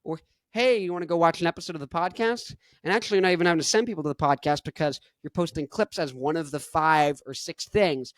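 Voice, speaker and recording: A young man hosting his own podcast in an energetic, rambling monologue full of "like". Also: fairly high pitch, quick pace, few pauses, dry recording. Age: young adult. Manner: rambling, energetic, heavy use of "like". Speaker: podcast host.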